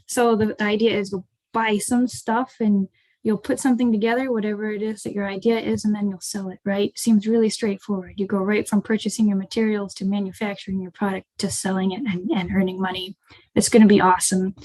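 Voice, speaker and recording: A woman's voice: mid-range voice, little pitch movement, fairly quick, a little mellow, no room sound, rough recording. American woman presenting, close dry sound. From rural Ohio, United States. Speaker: startup co-founder giving a guest talk. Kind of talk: informal webinar presentation. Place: indoors, online video call. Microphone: video call.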